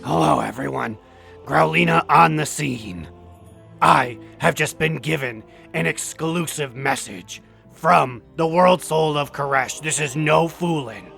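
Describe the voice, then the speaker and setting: Man playing Growlina, a slow, creaky news reporter. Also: a little high, bright, forceful tone, soft background music, exaggerated voice. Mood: earnest, solemn. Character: Growlina, earnest on-the-scene fantasy news reporter.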